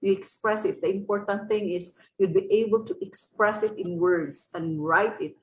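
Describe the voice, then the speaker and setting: A woman with a Filipino accent explaining to an online class as a teacher, pausing often to search for words. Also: medium pitch. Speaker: resource speaker, teacher. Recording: roomy, echoing room sound.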